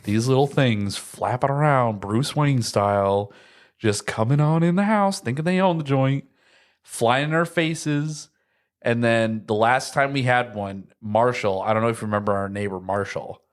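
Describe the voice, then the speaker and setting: A man's voice: medium pitch, normal speed. Young American guy telling casual stories. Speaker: podcast host.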